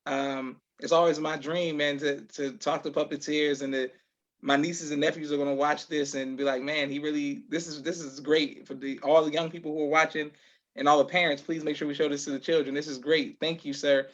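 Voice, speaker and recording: Male host, slightly high voice, effusive conversational delivery, somewhat muffled lo-fi audio. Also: flat delivery, brisk, a bit bright. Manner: effusive, repeats affirmations. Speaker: podcast host. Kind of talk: casual interview chat.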